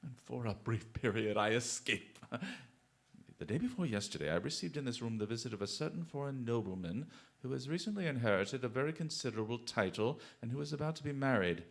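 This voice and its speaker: Brilliant gentleman sleuth, theatrical radio-play delivery, medium pitch, eloquent, exclamatory, playfully confident. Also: lively intonation, brisk, creaky. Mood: expository. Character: brilliant, theatrical English gentleman detective, playfully self-assured. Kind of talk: radio drama acting.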